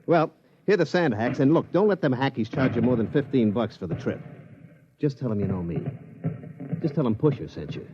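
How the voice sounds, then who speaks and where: A man's voice: mid-range voice, animated, a little fast, over music, natural voice. An actor playing a streetwise hustler in a space gambling town, talking in folksy, ungrammatical tough-guy slang in a 1950s radio drama. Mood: excited, salesmanlike. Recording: dull 1950s radio recording.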